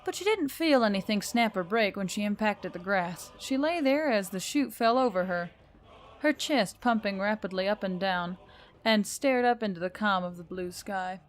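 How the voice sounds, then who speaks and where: A woman's voice: medium pitch, animated, normal speed, a little hiss or hum. A woman reading scripted fiction as a storyteller, in a dramatic narrating style.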